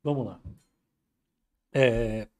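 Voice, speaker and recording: A man hosting a casual live market commentary, relaxed and chatty, reading viewer comments aloud. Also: medium pitch, drawn-out words. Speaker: market commentary livestream host.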